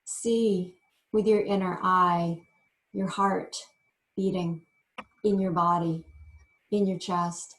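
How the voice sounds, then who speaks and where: A woman teaching yoga meditation, giving gentle instructions in short phrases with frequent pauses. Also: fairly low pitch, fairly even intonation, low-quality recording. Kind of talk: guided meditation.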